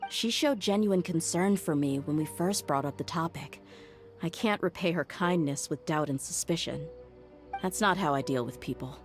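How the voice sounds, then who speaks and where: A woman's voice: low-pitched, normal speed, natural voice. An actress playing a loyal, frank young female bodyguard in a video game, speaking in a composed, earnest way. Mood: resolute, earnest. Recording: game music playing behind the voice.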